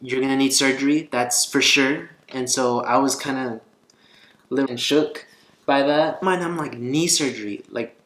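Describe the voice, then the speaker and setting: A man's voice: medium pitch, fairly even intonation, moderate pace, a bit bright. A man vlogging in a casual, conversational and earnest way, telling a personal story. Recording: roomy echo, faint guitar music behind.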